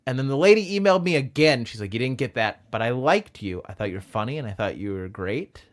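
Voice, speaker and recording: A man's voice: medium pitch, animated, fast talker. An American man with a lisp and a childlike-sounding voice, a comedian guest telling casual, self-deprecating stories and acting out quoted dialogue. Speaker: stand-up comedian, podcast guest.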